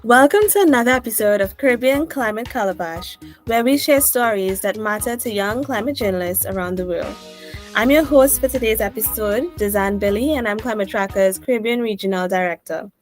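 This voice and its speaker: A woman's voice: medium pitch, a little fast, faint music behind. A woman hosting a podcast interview, opening the episode from a script and encouraging her guest. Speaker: podcast host.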